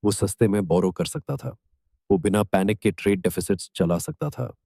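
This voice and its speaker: A man with an Indian accent reading scripted documentary narration in measured, emphatic short phrases. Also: lowish voice, expressive, gravelly, dark tone, dry recording, clean studio sound.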